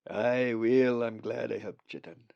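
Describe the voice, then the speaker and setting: A man voicing a warm old male raven spirit with a broad Scottish accent, talking loud and hearty. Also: mid-range voice, fairly even intonation, a little slow, vocal fry, no echo, lo-fi sound. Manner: hearty, loud, dialect-heavy.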